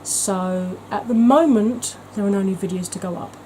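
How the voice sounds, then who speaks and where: A woman's voice: medium pitch, normal speed, mellow, slight background noise, poor audio. A woman talking in a chatty, gushing way as a beauty vlogger, with frequent ums. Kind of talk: casual vlog chat.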